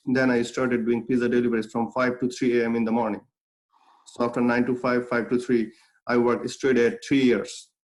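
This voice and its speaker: A young man from Bangladesh who grew up speaking Bengali talks through his life story as an interview guest. His voice sits at an ordinary middle pitch, and his delivery is earnest and reflective, full of fillers and restarts. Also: flat delivery, normal speed, rough recording. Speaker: guest, clothing brand founder.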